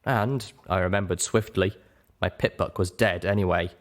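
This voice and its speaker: Low-voiced man narrating a story. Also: fairly even intonation, moderate pace. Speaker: audiobook narrator. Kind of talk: scripted first-person story narration.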